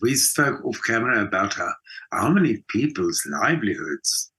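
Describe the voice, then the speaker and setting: A man's voice: fairly low pitch, sing-song, normal speed, pushed and bright, husky. A South African man speaking emphatically as a conversational interview guest, repeating phrases for stress. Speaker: interview guest, theatre performer and mentor.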